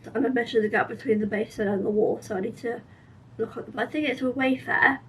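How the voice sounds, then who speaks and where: A young woman with a croaky, sore-throated voice, chatting tiredly through a casual diary vlog. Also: a little high, fairly even intonation, fast talker, distant mic, slight background noise. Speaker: vlogger.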